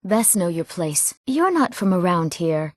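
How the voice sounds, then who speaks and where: A woman playing an earnest, emotional young townswoman in a fantasy video game, speaking clearly and expressively. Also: fairly low pitch, very animated, unhurried, dry recording, studio quality. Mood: weary, frank. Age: young adult. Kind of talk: scripted fantasy game dialogue.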